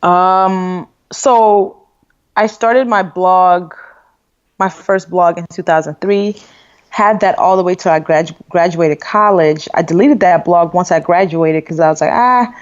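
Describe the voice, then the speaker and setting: A woman in her thirties, born in Nigeria, speaks candidly and easily as an interview guest. She uses a lot of fillers, and her voice sits fairly low. The recording sounds dull and lo-fi. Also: a little slow. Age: 30s. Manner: candid, relaxed, frequent fillers. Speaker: guest author and blogger. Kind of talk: interview conversation.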